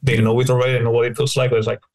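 A man's voice: mid-range voice, level tone, racing, darkish tone. Rambling man on a podcast. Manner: rambling, many "like" fillers. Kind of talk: casual discussion.

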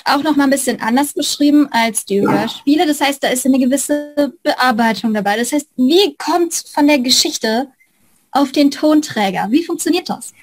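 Woman, thirties, conversational interviewer with a slightly high pitch. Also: normal speed. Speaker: interviewer, co-host. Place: indoor room with bookshelves.

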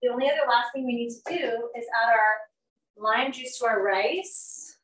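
A woman's voice: a little high, moderate pace, fairly bright tone. Upbeat woman cooking, far from the mic. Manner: chatty, upbeat, many fillers while cooking, moves around the stove. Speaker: nutrition educator hosting a live cooking class. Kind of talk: live cooking demo, chatty and hurried. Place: home kitchen, indoors. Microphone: laptop or fixed camera across the kitchen. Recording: roomy kitchen sound from a distance.

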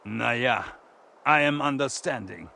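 An actor voicing a burly German lumberjack with a German accent, placating and bargaining in stilted phrases, in a video game. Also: male voice, mid-range voice, unhurried, creaky, pushed and bright, slight background noise. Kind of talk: video game cutscene acting.

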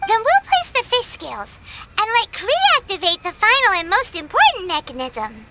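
A woman's voice: extremely high pitch, wildly swooping pitch, normal speed, heavy background noise, full character voice. A woman voicing a tiny, perky, chatty fairy companion in a video game. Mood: cheerful, encouraging. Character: tiny chirpy floating fairy companion, childlike and bossy. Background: loud game music.

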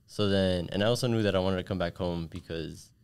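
A man's voice: deep voice, fairly quick, darkish tone, clean tone. A young man from Miami, a guest on a studio podcast, answering earnestly with many casual "like" fillers into a desk microphone. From Miami, USA. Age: young adult. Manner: earnest, thoughtful, frequent "like" fillers. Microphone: desk podcast mic with headphones.

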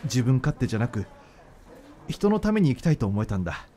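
A man's voice: mid-range voice, sing-song, brisk, dark tone, slight background noise, close to an everyday voice. A man acting in a radio drama as an earnest young doctor in love, speaking informally and from the heart. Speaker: voice actor.

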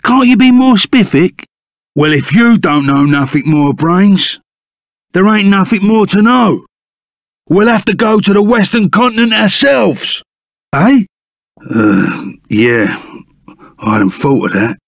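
An actor playing a burly, rough but loyal bandit sidekick in a video game, with a gravelly Cockney voice and blunt, slangy speech. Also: male voice, sits high, very animated, measured pace, long pauses, no echo, studio quality, full character voice. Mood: agitated, then matter-of-fact.

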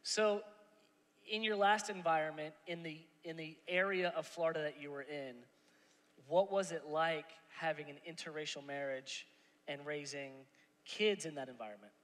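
A man with a high, bright voice hosts the interview in a playful, conversational way. His questions carry a long reverb from a large church hall. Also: fairly even intonation, normal speed. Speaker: interview host. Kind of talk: casual interview chat.

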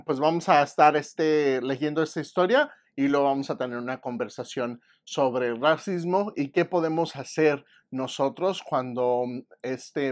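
A man in his thirties, a native Spanish speaker, introducing a children's book as host in a halting, explanatory way. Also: medium pitch. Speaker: host, art teacher reading aloud. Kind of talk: bilingual introduction and storybook read-aloud for children. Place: indoor room at a table with a poster-covered wall.

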